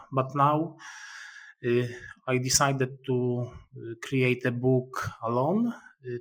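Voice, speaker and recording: A middle-aged man with a Polish accent, a guest author answering interview questions in careful, halting English with frequent pauses. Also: medium pitch. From Poland.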